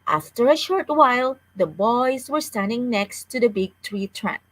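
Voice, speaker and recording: A woman's voice: a little high, expressive, unhurried, dry recording, poor audio. A woman reading a children's story aloud as a storyteller, with careful delivery and the odd stumble over words.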